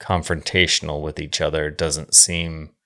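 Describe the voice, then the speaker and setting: A man co-hosting a casual film discussion, speaking in a halting, measured way with some swearing. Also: very deep voice, flat delivery, bright, forceful tone. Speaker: podcast co-host reviewing films.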